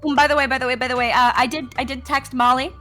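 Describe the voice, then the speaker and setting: Woman on a gaming stream, bright lively voice, casual, bit of music in back. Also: high voice, very fast. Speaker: guest on stream call.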